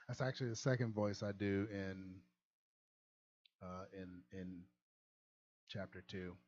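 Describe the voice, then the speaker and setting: A man's voice: low-pitched, takes it slowly, pauses often, a little mellow, highs cut off, slight background noise. A young man chatting casually on a livestream about modding a game, rambling and chuckling at himself. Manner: rambling, chuckling, self-deprecating. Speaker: game modder and streamer.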